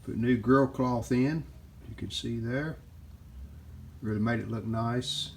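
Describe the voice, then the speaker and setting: A man giving casual, plainspoken hands-on narration as the host of a repair video, unscripted and pausing mid-sentence. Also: medium pitch, steady tone. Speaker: host of a radio restoration video channel. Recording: clear background noise, room ambience.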